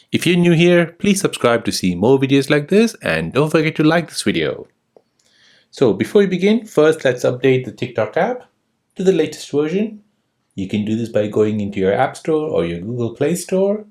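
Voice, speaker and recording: A man's voice: fairly high pitch, darkish tone. A man hosting a step-by-step tutorial, narrating in a scripted instructional manner with sing-song emphasis. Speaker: tutorial host.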